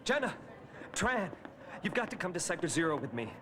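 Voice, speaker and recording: An actor playing an eager eighteen-year-old hero in a cartoon, earnest and expressive. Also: male voice, high-pitched, fairly quick. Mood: urgent, pleading. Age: young adult. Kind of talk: animated series voice acting.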